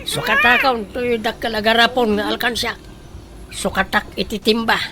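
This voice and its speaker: A woman playing an excitable, gullible parent in a comedic radio drama, exclamatory and exaggerated, with an Ilocano Filipino accent. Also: a little high, normal speed. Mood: amused. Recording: very noisy background.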